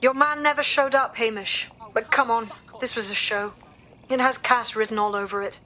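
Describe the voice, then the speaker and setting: Sceptical female hacker, higher pitch, firm, clipped, game cutscene, clear background noise. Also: fairly even intonation, normal speed, bright, forceful tone.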